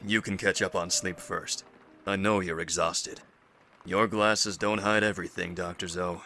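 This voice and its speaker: A man's voice: sits low, fairly even intonation, unhurried, bright, forceful tone, soft background music, exaggerated voice. A man acting a stern, pragmatic survivor leader in an audio drama, speaking tersely and decisively. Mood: matter-of-fact.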